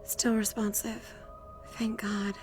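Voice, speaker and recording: A worried young woman in a horror audiobook, acted by a woman reader. Her voice is soft-edged and dark, caring yet urgent, with light music behind. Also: mid-range voice, flat delivery, slow, lightly acted. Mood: concerned. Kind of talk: dramatised audiobook acting.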